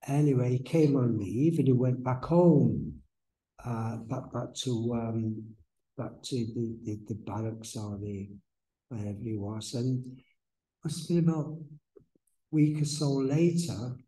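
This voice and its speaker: Soft-spoken older man, rambling talk. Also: medium pitch, unhurried, low-quality recording. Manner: soft-spoken, rambling with many restarts and fillers. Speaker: guest speaker, medium. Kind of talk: informal spiritual talk. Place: church hall, indoors. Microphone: stand mic at lectern.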